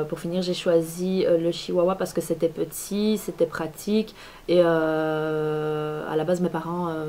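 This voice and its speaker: A woman's voice: on the low side, darkish tone, a little hiss or hum. A woman, chatting to camera as a beauty vlogger, full of fillers and restarts. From Belgium. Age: 20s. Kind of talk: casual talk to camera. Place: apartment at home.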